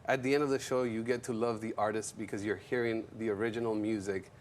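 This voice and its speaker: A man's voice: on the low side, little pitch movement, fast. A man talking casually as an interview guest, easygoing and telling stories in a conversational way. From Colombian background. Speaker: guest, music executive and show creator. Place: TV studio.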